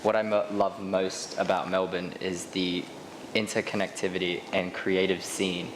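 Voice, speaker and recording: Casting note: low-voiced man, articulate co-founder, noticeable background noise. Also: level tone, normal speed. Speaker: brand business partner. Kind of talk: casual interview answers.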